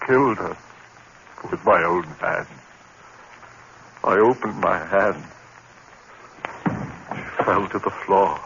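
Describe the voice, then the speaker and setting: A man's voice: low-pitched, fairly bright tone, heavily acted. An actor playing a tormented man haunted by voices and sliding into madness, in theatrical, anguished 1950s horror radio drama style. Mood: numb, bleak. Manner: theatrical, anguished, halting delivery. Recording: muffled, noisy vintage 1950s radio recording.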